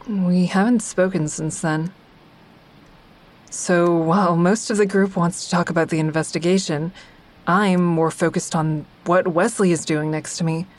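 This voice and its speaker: A woman's voice: lowish voice, fairly even intonation, a little slow, close to an everyday voice, rough recording. A woman acting as the wry, observant first-person narrator of an audio drama, a member of a support group. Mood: wry, uneasy. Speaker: narrator and character.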